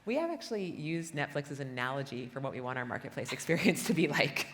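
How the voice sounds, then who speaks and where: A woman's voice: low-pitched, very animated, fast, fairly bright tone. A middle-aged woman chatting casually as an interviewee on a panel. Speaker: interviewee, company HR executive. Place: conference stage in a large hall. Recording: reverberant hall, stage event.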